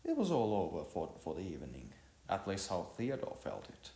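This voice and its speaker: A man reading a story aloud as an amateur narrator, haltingly, often repeating and correcting his words. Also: low voice, wildly swooping pitch, warm tone, reverberant.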